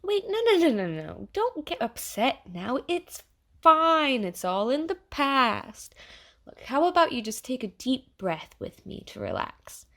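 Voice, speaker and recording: A woman's voice: sits high, sing-song, a little slow, fairly bright tone, full character voice. A woman playing a chatty, sarcastic, easily flustered AI program in an audio drama, talking casually and stammering when rattled. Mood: flustered, urgent. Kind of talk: audio drama monologue in casual second-person address.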